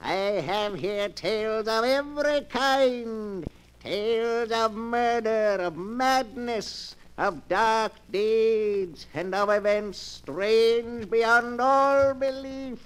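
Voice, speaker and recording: Slow, high-voiced sinister keeper of tales. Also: male voice, clean tone. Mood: gloating, ominous. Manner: drawn-out, theatrical, gloating delivery with cackles. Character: sinister old keeper of a book of dark tales. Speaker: storyteller host. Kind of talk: 1940s radio horror acting. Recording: noisy 1940s radio recording.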